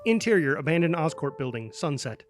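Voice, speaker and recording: A man reads stage directions as dramatic scripted narration. His voice is a little high for a man, and music plays clearly underneath it. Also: very animated, fairly quick. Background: prominent music.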